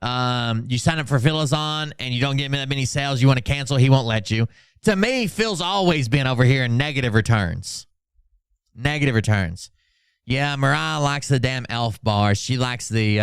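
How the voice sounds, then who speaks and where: Sarcastic man with a clear, close voice. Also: mid-range voice, moderate pace, no room sound. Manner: sarcastic, sweary, mock-dramatic when reading posts out. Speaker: livestream host. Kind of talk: mocking commentary on social media posts, casual livestream chat.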